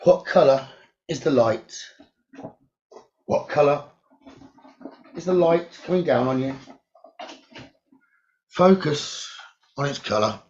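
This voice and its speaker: Slow, soothing man guiding meditation. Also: on the high side, lively intonation. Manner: slow, soothing, repetitive instructions with long pauses. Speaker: meditation guide. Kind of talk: guided meditation. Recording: dull lo-fi recording.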